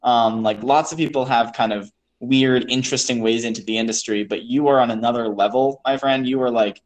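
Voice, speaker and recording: A man's voice: mid-range voice, fairly even intonation, brisk, low-quality recording. A man hosting a screenwriting podcast, chatting casually with a guest in a rambling way, full of fillers and restarts. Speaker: podcast host. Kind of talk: casual interview chat.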